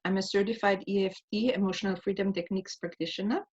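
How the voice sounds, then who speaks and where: A woman from Austria hosting and guiding a tapping session, speaking calmly with frequent fillers. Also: mid-range voice, husky. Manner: calm, measured, with many fillers. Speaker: host, EFT practitioner. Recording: slightly dull lo-fi audio.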